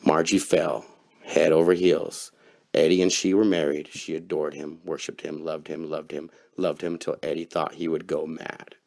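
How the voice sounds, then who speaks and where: A man with a very low voice narrates a scripted horror story. His delivery is theatrical and wry, like a knowing host telling a macabre tale. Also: level tone, normal speed, vocal fry, a little mellow.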